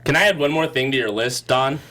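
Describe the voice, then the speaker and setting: A man's voice: medium pitch, brisk, sharp tone. A man co-hosting a political panel discussion, talking in a heated, passionate way and raising his voice. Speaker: co-host.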